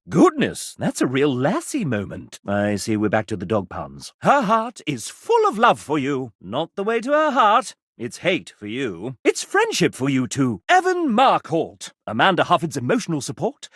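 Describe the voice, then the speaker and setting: Video game role: theatrical, gushing dating-show host, hugely animated delivery, close dry studio sound. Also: male voice, fairly high pitch, breathy edge, dry recording, full character voice. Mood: teasing, arch, then grandly complimentary. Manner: showy, arch announcer delivery with dramatic swoops. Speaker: game host character announcing dating results.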